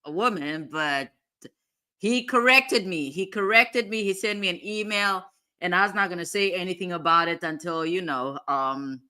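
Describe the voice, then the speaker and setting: A woman's voice: lowish voice, moderate pace, pushed and bright. A woman hosting a casual live chat, drifting distractedly between reading viewer comments and replying to them. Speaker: livestream host.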